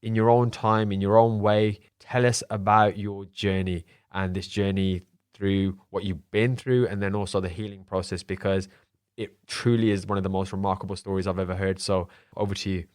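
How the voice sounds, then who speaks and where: A male podcast host with a lowish voice, recorded dry and close. He speaks in an earnest, emphatic way, encouraging his guest warmly as he sets up her story. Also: level tone, moderate pace, clear, resonant tone, dry recording. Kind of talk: interview host.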